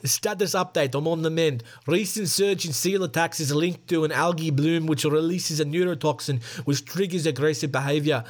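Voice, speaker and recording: A man's voice: a little high, normal speed, few pauses, close to an everyday voice. A man voicing a young South African actress with a put-on South African accent, quoting her in a mock dramatic way. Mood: mock earnest.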